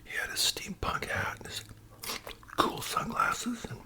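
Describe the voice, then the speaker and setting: A man with a Canadian accent speaks in a breathy whisper, chatting casually and unhurriedly in ASMR style as host. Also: a little slow. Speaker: ASMR video creator and host.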